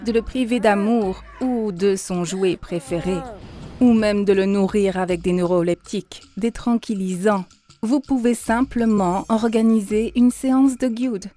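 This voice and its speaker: A woman voicing an earnest female mentor in a cartoon, lecturing in a measured, instructive way. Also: medium pitch, dark tone, natural voice. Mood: earnest, didactic. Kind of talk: dubbed cartoon dialogue, didactic monologue. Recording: music behind the voice.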